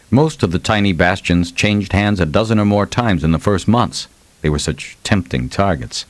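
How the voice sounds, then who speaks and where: Guy reading a novel, deep pitched storyteller voice, very dry recording. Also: no room sound. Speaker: audiobook narrator. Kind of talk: scripted dramatic fiction narration.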